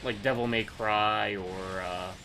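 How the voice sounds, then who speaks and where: A man's voice: on the low side, fairly even intonation, slow pace, sharp tone, a little hiss or hum. A man hosting a co-op gameplay video, chatting casually and rambling with plenty of "like" and "uh". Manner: rambling, full of "like" and "uh". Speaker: gaming channel host.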